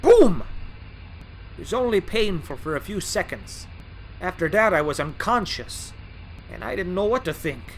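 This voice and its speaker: A man's voice: high voice, big pitch swings, a little slow, pauses often, a little hiss or hum, low-quality recording. A man voicing a boastful, charming male tank engine in an audio drama, with a jaunty, folksy manner.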